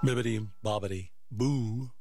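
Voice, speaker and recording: A man hosting a music radio show, casually announcing old records in an unhurried, musing way as if thinking aloud. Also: fairly low pitch.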